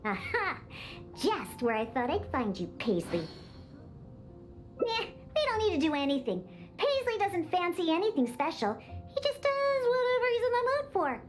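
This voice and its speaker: A woman's voice: very high-pitched, sing-song, moderate pace, soft background music. An actress playing a feisty young cat-girl bartender in a video game, with a cutesy, bossy, indignant manner. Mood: eager, bossy, then grumbling. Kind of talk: video game character acting.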